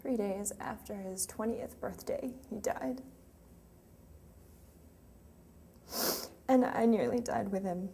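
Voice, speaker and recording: A woman's voice: medium pitch, normal speed, warm tone, close to an everyday voice. An actress playing a grieving young woman remembering her lost sweetheart in a hesitant, emotional, confessional monologue. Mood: grief-stricken. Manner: hesitant, emotional, with trailing phrases. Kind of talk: dramatic monologue, confessional and conversational. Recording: clearly noisy room ambience.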